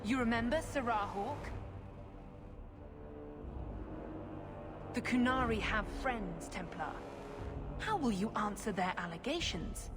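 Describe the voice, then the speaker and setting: A haughty priestess from a fantasy game, played by an actress, speaks with measured formality and smooth condescension. She sounds like a practiced schemer, over clearly audible music. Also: a little high, lively intonation, lightly acted. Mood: stern, accusing. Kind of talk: scripted video game acting.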